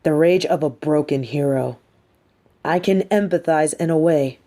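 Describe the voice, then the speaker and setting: A low, velvety female supervillain, acted by a woman for an audio roleplay. She talks slowly and deliberately, condescending and theatrical, with cool menace and a manipulative streak of sympathy. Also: dark tone. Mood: cold persuasion. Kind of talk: scripted audio roleplay addressed to the listener.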